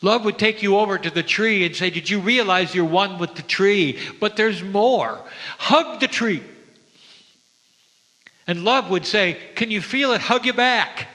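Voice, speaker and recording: A man speaks as a spiritual teacher in a large hall, with long reverb trailing his words. His voice sits a little high for a man. He takes his time, gentle and reverent, leaving dramatic pauses between phrases. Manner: slow, deliberate, with dramatic pauses. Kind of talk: spiritual sermon to an audience.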